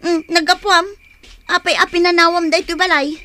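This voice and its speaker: A woman's voice: very high voice, fairly even intonation, moderate pace, sharp tone. A woman acting in an Ilocano radio drama as a tired market vendor wife, sighing and complaining about her aches. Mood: weary, grumbling. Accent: Ilocano, northern Philippines. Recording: noisy radio drama recording.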